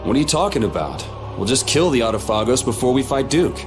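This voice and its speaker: A man's voice: medium pitch, sing-song, quick pace, over music, natural voice. An actor playing a brash young swordsman hero in a video game, blunt and defiant. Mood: serious. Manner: blunt, irritated, casual.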